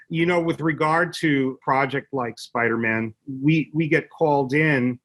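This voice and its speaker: Man explaining thoughtfully, halting and emphatic. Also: medium pitch, a bit bright. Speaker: interview guest, media company executive. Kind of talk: conversational expert explanation.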